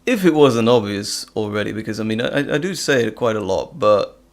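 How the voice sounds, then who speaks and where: A Flemish-accented man hosts a live stream, chatting casually and laughing a lot as he goes. His voice has a gravelly rasp and swings widely in pitch. He often stops and restarts his sentences. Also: expressive, brisk. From Flemish region of Belgium. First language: Dutch.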